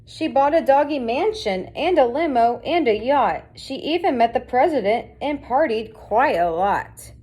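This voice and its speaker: A woman's voice: sits high, animated, measured pace, hardly any pauses, darkish tone, slightly rough. A woman reading a children's picture book aloud as scripted narration. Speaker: storybook reader.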